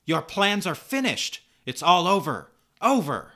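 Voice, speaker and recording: A man's voice: medium pitch, expressive, slow pace, pushed and bright, pitched above the performer's own voice. Guy doing a brave young superhero girl, really emotional and theatrical, comic book drama. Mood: defiant, urgent.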